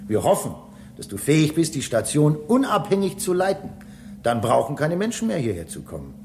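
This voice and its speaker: A man's voice: fairly high pitch, huge pitch swings, a little fast, a little hiss or hum. A man playing a human space-station engineer in a radio drama, explaining things emphatically and with some impatience. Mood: lecturing. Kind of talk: German radio drama acting.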